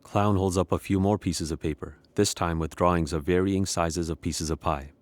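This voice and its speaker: A man's voice: sits low, fairly even intonation, moderate pace, no echo, lightly acted. A man acting as a neutral narrator, reading scripted stage directions in an audio play.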